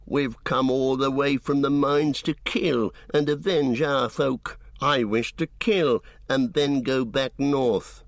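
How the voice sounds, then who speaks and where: A man voicing an angry, vengeful orc soldier who speaks loud and raised. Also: medium pitch, fairly even intonation, slow, hardly any pauses, no room sound, clean studio sound. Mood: defiant.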